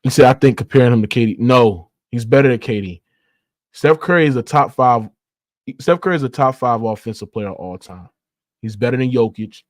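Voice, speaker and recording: A man's voice: mid-range voice, fairly quick, no echo. A man hosting a sports debate in slangy casual talk, insistent and argumentative, repeating his points and swearing freely. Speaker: sports debate show host.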